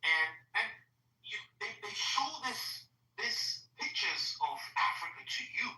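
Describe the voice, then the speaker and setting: A Nigerian woman in her forties argues insistently, with a bright, sharp voice that swings widely in pitch. She sits back from the mic in a roomy, echoing space. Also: lively intonation, slow. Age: 40s. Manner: emphatic, insistent, repeats herself while making a point. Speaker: discussion participant. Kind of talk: heated conversational debate. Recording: roomy echo, distant mic.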